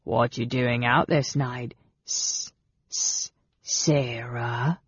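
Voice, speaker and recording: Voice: slow pace, breathy edge, no echo, muffled recording. Narrator doing a sneering, hissing goblin guard. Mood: suspicious, sneering. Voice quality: shrill, hissing. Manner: broken grammar, sneering. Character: suspicious, sullen male goblin guard, broken speech.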